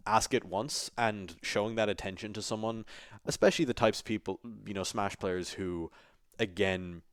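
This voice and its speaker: A man hosting a casual interview, asking questions in a relaxed, friendly way. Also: fairly low pitch, normal speed, clear, resonant tone, no echo, clean studio sound. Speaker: interviewer, host.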